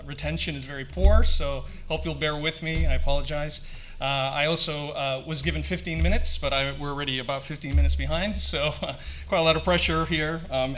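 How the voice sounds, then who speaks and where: A man's voice: mid-range voice, sharp tone, slight background noise. A man in his forties with a North American accent, a company founder giving a conference talk, friendly with joking asides and many fillers. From Canada and Singapore. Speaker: guest speaker, company founder.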